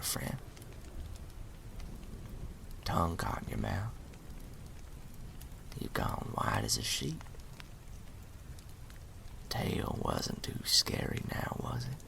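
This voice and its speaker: Sinister ghost storyteller played by a man: extremely low, gravelly voice, folksy menace, spooky ASMR roleplay. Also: full character voice. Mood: taunting, sinister. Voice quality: breathy, gravelly whisper. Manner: slow, deliberate, hushed, folksy and menacing. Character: sinister ghost of a murdered right-hand man, spinning a spooky tale. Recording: reverberant, cavernous echo.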